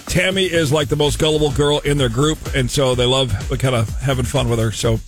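A man's voice: medium pitch, a little fast, faint music behind. A man hosting a radio show, bantering casually around a prank call.

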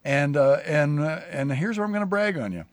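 A pastor hosting a casual conversation, a man who talks in halting, rambling sentences with many restarts. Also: a little high, animated, no echo. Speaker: pastor and show host.

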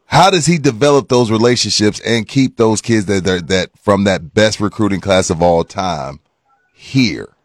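A man with a deep, low voice hosts a sports radio interview. His style is casual and conversational, and the sound is dry, without echo. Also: animated, normal speed.

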